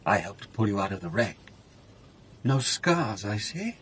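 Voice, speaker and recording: A man's voice: on the low side, big pitch swings, a little slow, fairly bright tone, husky, exaggerated voice. An actor playing a wry, world-weary police inspector who narrates his own story in a radio drama. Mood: probing. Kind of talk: radio drama acting with first-person narration.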